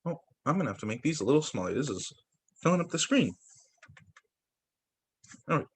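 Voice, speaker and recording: A young male teacher reads picture books aloud over a video call, his slightly high voice rising and falling in lively swoops. There is a faint husky edge to his voice, and he keeps stopping to add small surprised comments. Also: a little fast. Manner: reads aloud with asides and exclamations. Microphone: computer mic on a video call.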